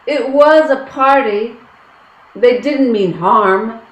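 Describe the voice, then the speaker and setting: A woman playing an anxious, protective Irish village woman, pleading deliberately in a stage play reading. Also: on the high side. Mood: worried. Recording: roomy, echoing stage-reading sound.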